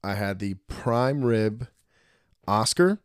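A man in his thirties telling stories in a casual podcast chat, profane and animated. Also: fairly low pitch, takes it slowly, smooth, no echo, studio quality. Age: 30s. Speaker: podcast guest.

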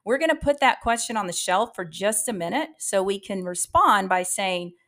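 A woman's voice: mid-range voice, animated, moderate pace, fairly bright tone. A woman with a mid-range voice hosts a coaching podcast. She addresses the listener directly and emphatically, using rhetorical questions to drive her points home as a practice coach.

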